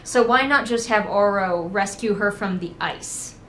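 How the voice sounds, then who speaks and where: A woman's voice: mid-range voice, a little slow, roomy sound, slight background noise, low-quality recording. A woman giving casual, comedic book commentary as a host, in a sarcastic, sweary, conversational manner. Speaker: book critic and host of a readalong.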